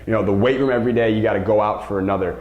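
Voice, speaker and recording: A man's voice: on the low side, fairly even intonation, rapid-fire, muffled recording. A man speaking as a basketball coach and show host, giving an emphatic motivational talk to viewers and stressing key words by repeating them. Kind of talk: motivational vlog talk to camera. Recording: roomy, echoing sound.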